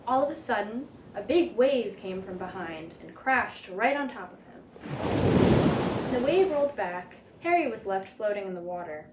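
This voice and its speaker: Animated woman reading a children's storybook. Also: mid-range voice, normal speed. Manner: animated, dramatic read-aloud delivery. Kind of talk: expressive storybook narration for children. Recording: roomy echo, background noise.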